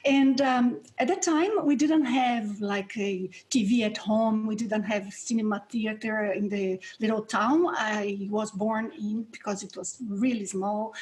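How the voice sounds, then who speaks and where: A woman's voice: fairly high pitch, darkish tone, low-quality recording. Brazilian-accented woman, earnest and reflective. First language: Portuguese. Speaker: guest researcher and instructor. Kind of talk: interview answers.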